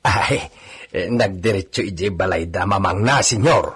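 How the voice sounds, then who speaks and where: A man's voice: sits low, normal speed, a bit bright, breathy edge, full character voice. A man with an Ilocano accent acting a gossipy, obedient male villa stable hand in a radio drama. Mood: respectful.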